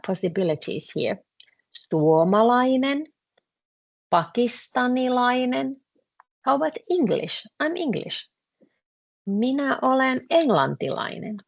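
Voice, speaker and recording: A woman with a Finnish accent teaching a language class, explaining patiently with frequent pauses and fillers. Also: lowish voice, vocal fry. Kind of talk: classroom lesson explaining grammar.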